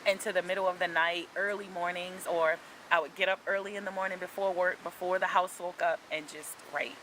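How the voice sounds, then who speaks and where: A woman's voice: on the low side, fairly even intonation, fairly quick, fairly bright tone, a little hiss or hum, low-quality recording. A woman in her forties, an author, talking in a casual interview, earnest and reflective, with frequent ums as she gathers her thoughts. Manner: earnest, reflective, faith-centered storytelling with frequent "um".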